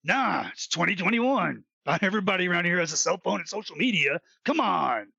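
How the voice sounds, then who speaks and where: A man voicing a chatty talking tree that loves puns, with a raspy, creaky voice, in a comedic audio drama. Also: high voice, expressive, brisk, sharp tone, no room sound, highs cut off, heavily acted. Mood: jokey, gossipy. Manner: folksy, jokey, pun-loving. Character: chatty punning talking tree in a magical forest.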